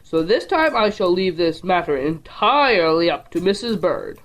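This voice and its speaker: A woman's voice: fairly low pitch, sing-song, normal speed. A woman playing a devoted, old-fashioned husband and father in a family audio drama, with formal, courtly phrasing. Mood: fond, decisive.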